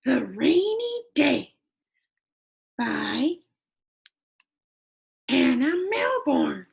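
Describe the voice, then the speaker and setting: Lady doing a kiddie puppet reading a picture book, eager and wide-eyed, really animated pitch, creaky bits. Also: extremely slow, exaggerated voice. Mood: animated, wondering. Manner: exaggerated, wondering, talks directly to children. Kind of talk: animated children's storybook read-aloud.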